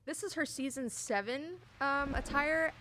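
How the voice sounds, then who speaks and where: Halting woman in casual chat, faint noise. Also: high voice, sharp tone. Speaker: game developer guest. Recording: faint background noise and ambience.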